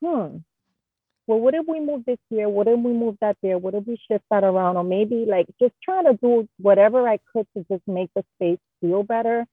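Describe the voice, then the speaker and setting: A woman's voice: mid-range voice, moderate pace, husky, no room sound, narrow, tinny audio. A woman in her thirties from Queens, a guest interior designer telling candid stories in a casual interview. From Queens, New York. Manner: candid, animated storyteller with slang.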